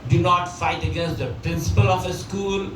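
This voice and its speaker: Guy teaching prepositions, kinda high voice, says the examples over and over, roomy echo, hiss behind. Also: fairly even intonation, normal speed. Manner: repeats example sentences, many fillers. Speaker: English teacher. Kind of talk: spoken English lesson. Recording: echoey room, mic at a distance, background noise.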